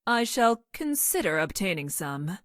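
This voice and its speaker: A woman playing a logical young woman scientist from the future in a video game, speaking in formal, clinical, precise deadpan. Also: mid-range voice, measured pace, no echo, clean studio sound. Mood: deadpan affection. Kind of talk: video game character voice lines.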